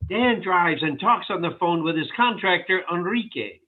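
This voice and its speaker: A man's voice: sits high, lively intonation, normal speed. A man reading stage directions aloud as the narrator of a virtual table read. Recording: tinny phone-like line.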